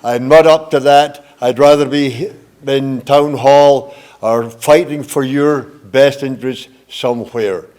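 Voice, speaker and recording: An older man addresses a forum as a political candidate. His delivery is measured and earnest, with plain wording and a middling pitch. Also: fairly even intonation, a little mellow. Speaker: mayoral candidate. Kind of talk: prepared campaign speech at a forum.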